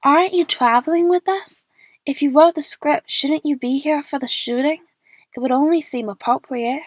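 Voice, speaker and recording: A woman's voice: high voice, normal speed, dry recording, close to an everyday voice. A young woman playing an eager, chatty movie fan who narrates her adventure in the first person for an audio drama. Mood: gushing, stalling.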